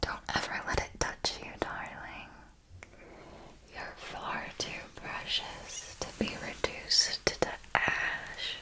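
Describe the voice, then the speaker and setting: Woman playing a whispering, seductive ancient vampire mentor. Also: close to an everyday voice. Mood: tender warning. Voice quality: breathy, unvoiced whisper. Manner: slow, deliberate, intimate storytelling with pauses. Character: ancient female vampire mentor, seductive, tender, darkly wise. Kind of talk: ASMR roleplay monologue.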